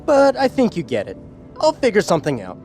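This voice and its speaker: A woman's voice: mid-range voice, huge pitch swings, a little fast, darkish tone, a little hiss or hum. A woman voicing a brash, cocky, grumbling young king in an audio drama. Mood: cocky, defiant. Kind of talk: audio drama character acting.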